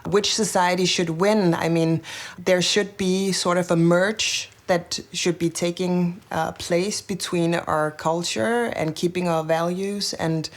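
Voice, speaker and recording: A woman politician answering interview questions thoughtfully, sometimes pausing to find her words. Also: lowish voice, steady tone.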